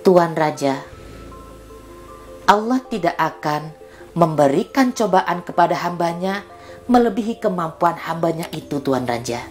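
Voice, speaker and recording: A faithful, devout royal guard, played by a male storyteller speaking in a calm, lifted voice that rises and falls gently. Soft music sits faintly behind him. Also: a little high, measured pace, pauses often, voice pushed up.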